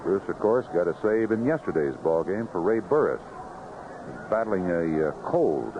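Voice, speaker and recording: A man's voice: low-pitched, very animated, normal speed, muffled recording. An American man calling baseball play-by-play on radio in clipped, descriptive phrases. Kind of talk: live radio sports commentary. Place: outdoor ballpark broadcast booth. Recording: noisy vintage 1970s AM radio broadcast.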